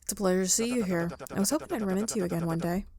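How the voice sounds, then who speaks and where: A woman's voice: mid-range voice, racing, a crowd in the background, close to an everyday voice. A woman voicing a courteous, inquisitive, bookish man in a visual novel game, with polite and formal phrasing. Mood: pleased, polite.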